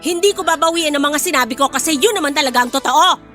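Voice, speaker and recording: An actress with a Filipino accent playing a harsh, short-tempered mother in a radio drama, shrill and confrontational. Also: quick pace, bright, forceful tone, faint music behind. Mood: angry, indignant.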